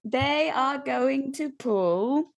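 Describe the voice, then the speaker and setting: A woman's voice: high-pitched, extremely slow, vocal fry. A woman playing a tabletop roleplaying game, casually describing what her character does.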